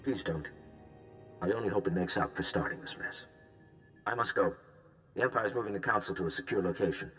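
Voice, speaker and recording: A man's voice: deep voice, fairly quick, pauses often, fairly bright tone, soft background music. A man playing a grave, remorseful statesman in a video game, speaking tersely and seriously. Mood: grave urgency. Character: grave, remorseful male statesman urging on a hero. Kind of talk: dramatic video game dialogue.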